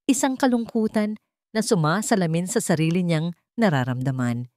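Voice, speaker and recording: Woman reading a dramatic love story, kinda low voice, pitch moves a lot, dry close sound. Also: animated, normal speed, a little mellow, dry recording. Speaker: story narrator. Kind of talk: scripted dramatic story narration.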